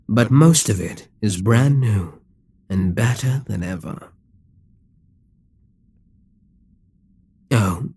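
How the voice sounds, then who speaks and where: Man playing a slow, husky, dark-voiced Demon King. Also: on the low side, dry recording, heavily acted. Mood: smug, taunting. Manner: slow, taunting, persuasive, with deliberate pauses. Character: smug, dominant, manipulative male Demon King. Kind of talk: dramatic ASMR roleplay monologue.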